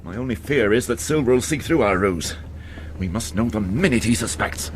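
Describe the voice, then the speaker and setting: An actor playing a level-headed, authoritative British ship's captain in a radio drama. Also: male voice, lowish voice, animated, a little fast, a little hiss or hum, lightly acted. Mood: measured, grave.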